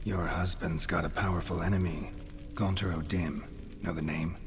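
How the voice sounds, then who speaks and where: A man playing a stoic middle-aged monster hunter in a video game, with a gravelly, breathy voice and a terse, restrained delivery. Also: deep voice, normal speed, music in the background. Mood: guarded, probing. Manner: terse, restrained, deadpan questioning. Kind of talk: dramatic video game dialogue.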